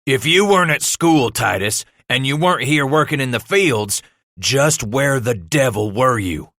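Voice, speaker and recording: A man voicing an angry, domineering frontier father in an audiobook, with gruff, stern delivery and rustic, folksy speech. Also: on the low side, normal speed, sharp tone, dry recording, studio quality. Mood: furious, thundering.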